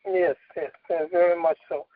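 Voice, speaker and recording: A man from Haiti, hosting a casual spiritual chat and speaking haltingly, with many fillers and repeated words. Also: on the high side, fairly even intonation, no room sound, narrow, tinny audio. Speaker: host.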